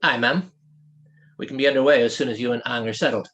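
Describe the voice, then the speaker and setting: A man's voice: mid-range voice, quick pace, a bit bright, natural voice. A man voicing a loyal ship's weathermaster with a Scottish accent, plain-spoken, respectful and assured, in a storybook reading. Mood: dutiful. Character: loyal Scottish male weathermaster of a flying ship.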